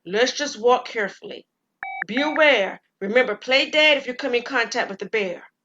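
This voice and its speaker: A woman's voice: on the high side, moderate pace, pushed and bright, muffled recording, a little hiss or hum. A woman voicing a firm, reassuring young man who leads the hike in an audio drama. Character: steady determined young man leading the hike.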